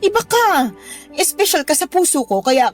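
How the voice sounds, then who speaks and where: A woman's voice: very high voice, big pitch swings, moderate pace. A woman playing a lonely, pushy widowed boss in a Tagalog radio drama, pleading and insistent with seductive intent. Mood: yearning, pleading. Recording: music bed behind the voice.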